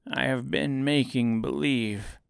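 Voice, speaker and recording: A man's voice: mid-range voice, fairly even intonation, slow, gravelly, smooth, no echo, studio quality, pitched above the performer's own voice. A man voicing a timid little old humbug wizard in a storybook, speaking meekly with a tremble. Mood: meek, confiding. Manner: trembling, meek.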